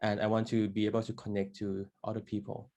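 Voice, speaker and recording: A man's voice: lowish voice, completely flat delivery, quick pace, mellow. Hesitant man, many fillers. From Thailand. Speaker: guest violinist.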